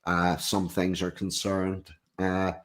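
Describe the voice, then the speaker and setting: A man's voice: sits low, monotone, slow pace, fairly bright tone. A man hosting a live stream chat, blunt and casual, swearing as he talks. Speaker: stream host.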